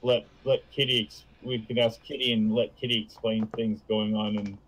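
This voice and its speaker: A man's voice: fairly low pitch, little pitch movement, normal speed, fairly bright tone, slightly rough, poor audio. A man co-hosting a live stream in easy, casual conversation. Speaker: stream co-host. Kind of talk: casual chat.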